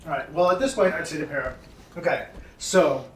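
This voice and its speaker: A man's voice: fairly high pitch, a little fast, reverberant, over a noisy crowd, rough recording. A man playing a tabletop game, speaking casually to the group. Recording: loud music behind the voice. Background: loud music.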